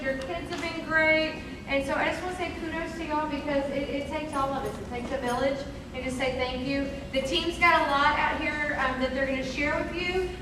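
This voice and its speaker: A woman welcomes parents to a school meeting in an upbeat, folksy way. Her voice is high. It comes from far off in a very echoey room with a long tail and noise behind her. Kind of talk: brief welcome speech. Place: school classroom or hall. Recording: very echoey, distant, noisy room.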